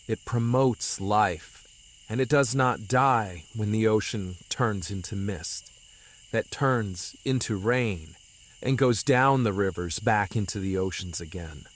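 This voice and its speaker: A man playing a gentle, wise young mystic who speaks earnestly and philosophically in an audio drama. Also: fairly low pitch. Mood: serene, earnest. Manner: slow, earnest, philosophical delivery. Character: gentle, wise young male mystic consoling his despairing friend.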